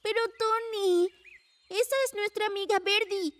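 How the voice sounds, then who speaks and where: A woman's voice: very high-pitched, fairly even intonation, unhurried, fairly bright tone, a little hiss or hum. A woman voicing a cheerful, caring young girl in a dubbed cartoon, upbeat and friendly. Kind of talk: cartoon dub acting. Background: music.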